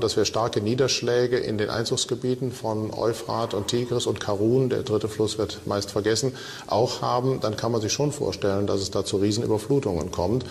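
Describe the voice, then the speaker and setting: Gravelly low-voiced male expert, reverberant room. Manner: explains technical terms in asides. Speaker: scientist, interviewed expert. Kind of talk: explanatory interview talk. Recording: reverberant room with background noise.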